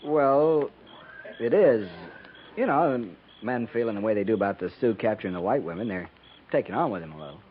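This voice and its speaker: An actor playing a deferential cavalry soldier in a 1950s radio western, halting and evasive as he answers a superior. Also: on the low side, lively intonation, slight background noise, close to an everyday voice. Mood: uneasy, evasive. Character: hesitant, deferential cavalry sergeant answering to captain. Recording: muffled 1950s radio recording.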